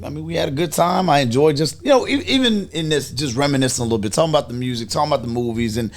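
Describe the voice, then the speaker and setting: A man's voice: fairly high pitch, lively intonation, racing. A man co-hosting a casual group podcast, speaking in an emphatic, slangy way and often repeating his phrases. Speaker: podcast co-host.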